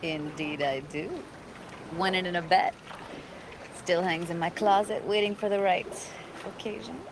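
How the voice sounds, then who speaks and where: Game role: young female techie, lower register, playful and slangy, audible water noise behind. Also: steady tone, unhurried. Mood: teasing. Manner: casual, teasing, slangy. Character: playful, self-assured young female tech tinkerer. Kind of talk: naturalistic video game acting.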